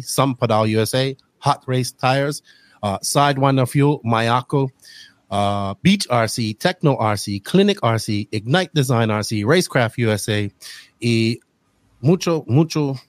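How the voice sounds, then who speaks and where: A man whose first language is English, hosting a casual podcast in halting Spanish and slipping into English now and then. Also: mid-range voice, no echo. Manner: halting non-native Spanish with slips into English. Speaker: podcast host.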